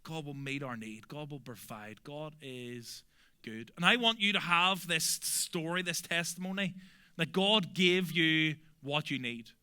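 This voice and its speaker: Belfast-accented man preaching, bright and clear. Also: fairly high pitch, animated, a little slow. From Northern Ireland. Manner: earnest, emphatic preaching that drops to soft, quiet endings. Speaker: pastor. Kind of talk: sermon.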